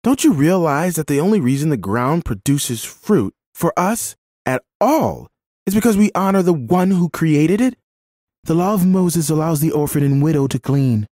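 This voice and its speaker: A man's voice: lowish voice, lively intonation, a little slow, mellow, no room sound, studio quality. A man voicing a firm, compassionate, pious woman who speaks earnestly in a dramatised story. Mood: firm, urgent. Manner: earnest, righteous, exhorting.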